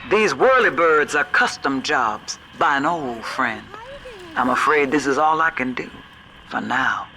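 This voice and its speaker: An actor playing a grave, plain-spoken older American, wary and stern, with deliberate folksy phrasing. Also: medium pitch, animated, background noise. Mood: grateful, troubled.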